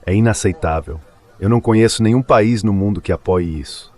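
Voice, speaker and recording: Man, low deep voice, official political statement, translated voice-over. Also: a little fast, dark tone. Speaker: government official.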